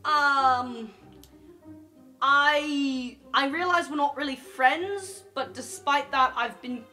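A woman's voice: high-pitched, slow pace, pushed and bright, faint music behind, heavily acted. A woman playing an awkward, apologetic young male student in a tabletop game, speaking hesitantly and earnestly. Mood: nervous, apologetic. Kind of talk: improvised tabletop roleplay.

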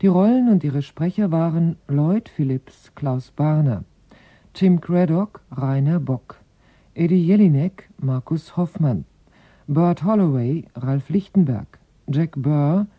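Announcer, slow, formal, dull old broadcast sound. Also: mid-range voice, animated.

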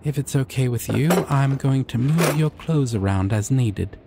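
A man playing a shy, dorky, kind dragon in an ASMR fantasy roleplay, speaking softly and hesitantly with tender, rambling care. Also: mid-range voice, normal speed, creaky, heavy background noise, lightly acted. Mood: gentle, attentive. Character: shy, gentle, dorky adult male dragon caring for a stranger.

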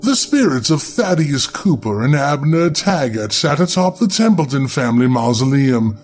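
A man's voice: fairly high pitch, darkish tone. A man narrating a scripted horror story in a dramatic storytelling style. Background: background music.

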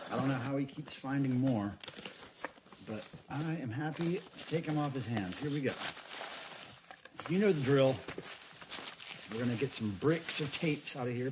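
American man chatting over crinkly handling noise. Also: mid-range voice. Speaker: host of a cassette unboxing video. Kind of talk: casual unboxing commentary. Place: indoors at a tabletop. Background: plastic wrap crinkling, cases clicking.

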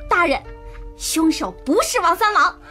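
A melodramatic young maiden in a radio comedy. Her voice is extremely high and lively, outspoken and theatrical, with faint music behind it. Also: measured pace, heavily acted. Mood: wailing. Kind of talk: comedic radio drama acting.

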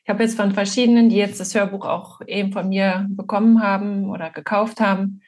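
Husky woman in casual interview. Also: medium pitch, flat delivery, a little fast, darkish tone. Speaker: author, interview guest. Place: indoor room, video call. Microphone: video call.

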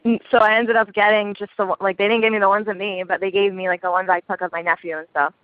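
A young woman telling a story in casual chat on a phone call, chatty and quick to swear. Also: medium pitch, level tone, no room sound. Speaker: caller. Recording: dry tinny phone line.

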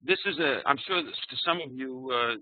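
Middle-aged New York man, trading coach; chatty, halting delivery over thin, dry webinar audio. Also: medium pitch, dry recording. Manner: conversational, frequent fillers and restarts. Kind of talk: webinar presentation. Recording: tinny phone-like online audio.

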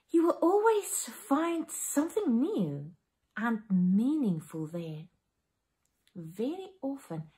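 Lively South African woman minister. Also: fairly high pitch. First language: Afrikaans. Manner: animated storytelling to children, asking questions. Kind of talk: children's talk in a family service. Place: living room at home.

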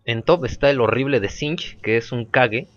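A man's voice: mid-range voice, normal speed. Casual gamer narrating his match, sore throat, slightly muffled home mic. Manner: relaxed, repeats phrases, chatty. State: sore throat, clearing it often. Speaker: gameplay commentator. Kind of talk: casual gameplay commentary.

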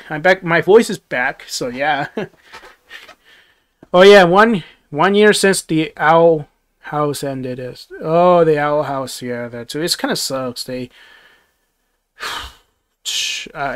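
A young man talks to his livestream audience from a small dim bedroom, his voice sitting a little higher than most men's. He rambles, restarts sentences often and chuckles between thoughts. The sound comes through a close headset mic. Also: a bit bright, clear, resonant tone. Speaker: livestream host. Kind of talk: casual livestream chat reading viewer comments. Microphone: gaming headset.

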